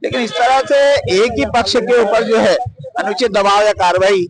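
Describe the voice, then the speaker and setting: Man with high voice, firm opinions, emphatic, street interview answers. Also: normal speed, crowd noise, poor audio. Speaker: member of the public interviewed.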